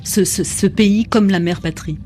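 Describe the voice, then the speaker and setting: A woman hosting a radio interview, asking measured, probing questions. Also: mid-range voice, dark tone, soft background music.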